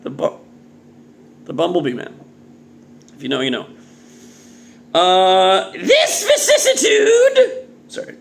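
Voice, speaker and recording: A man's voice: high-pitched, slow, vocal fry, pauses often, a little hiss or hum. A man in his thirties hosting a casual, off-the-cuff video review in a jokey, exclamatory way, recorded in a home kitchen at arm's length. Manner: animated, exclamatory, jokey delivery with muttered asides. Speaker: beer reviewer and vlog host. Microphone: phone or webcam at arm's length.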